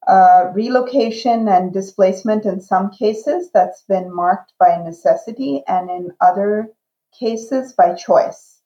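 A woman in her fifties from Iran, whose first language is Urdu, giving a measured and reflective interview answer as a guest professor. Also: mid-range voice, mellow, roomy sound, highs cut off. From grew up in Iran. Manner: slow, measured and reflective, with fillers.

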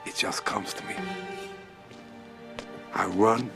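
A man's voice: lowish voice, fairly even intonation, takes it slowly, creaky, over music. An actor playing a weary, streetwise aging male gangster, heard as a film clip. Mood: weary, brooding. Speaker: film character in interview sketch. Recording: film clip with loud score.

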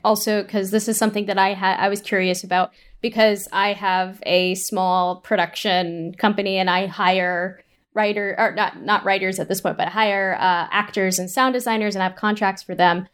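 A woman's voice: medium pitch, fairly even intonation, normal speed, a bit bright, smooth. A woman speaking conversationally as an interview guest, feeling her way through points in a rambling, hesitant manner. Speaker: audio drama writer and producer.

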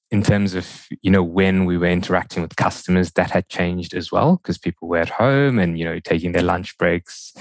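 Low-voiced man, mixed South African-New Zealand accent. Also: no echo. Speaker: podcast guest, data and analytics executive. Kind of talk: conversational interview answers.

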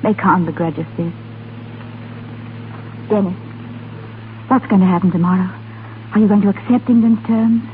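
A woman's voice: mid-range voice, normal speed, pauses often, natural voice. An actress playing a passionate, pleading English lady in love, in earnest, theatrical 1930s radio drama style. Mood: anxious. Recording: tinny, noisy 1930s radio recording.

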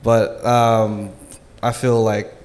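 A man's voice: fairly low pitch, little pitch movement, drawn-out words, darkish tone. A man co-hosting a live podcast on a conference stage, telling stories in a loose, laughing way with lots of filler words. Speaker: podcast co-host. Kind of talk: casual live panel chat.

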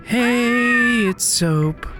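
An actress playing an earnest, doting, stubbornly hopeful cat lover in a naturalistic audio drama. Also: female voice, fairly high pitch, very slow. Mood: playful, hopeful. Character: earnest, affectionate, stubbornly hopeful cat-loving magic user. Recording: music under the voice.

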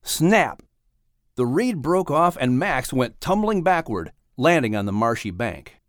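A man reading a children's story as an audiobook narrator, with expressive, dramatic delivery. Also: mid-range voice, unhurried, no echo, studio quality.

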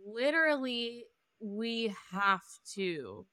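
A woman's voice: fairly high pitch, very animated, extremely slow, pushed and bright, clean tone, no room sound, studio quality. A woman podcast host talking in an enthusiastic, chatty way. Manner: enthusiastic, chatty, fillers like "literally". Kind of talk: casual podcast conversation.

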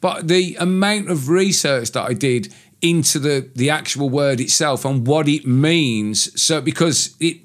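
Bloke co-hosting a podcast, rambles on with strong opinions, swears a bit. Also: male voice, a little high, a little slow. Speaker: podcast co-host, tattooist. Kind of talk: casual chat.

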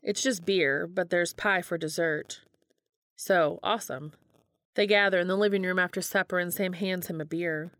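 Female story reader, slightly low register, audiobook-style narration of fiction, dry and close recording. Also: steady tone, moderate pace, fairly bright tone, dry recording.

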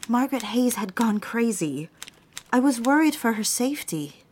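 A woman playing an earnest, troubled young secretary in a period office drama, speaking politely and with measured care. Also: fairly high pitch, very animated, darkish tone, slight background noise. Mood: troubled, confiding. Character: troubled young secretary, earnest, wounded but standing her ground.

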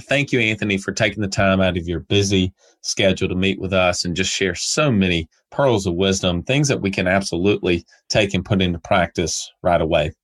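A very low-pitched man hosts a business podcast, wrapping up with upbeat thanks and sponsor plugs. His voice comes through a little nasal from a cold he is getting over. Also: a little fast, few pauses, a bit bright. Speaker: podcast host. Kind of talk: podcast interview and outro.

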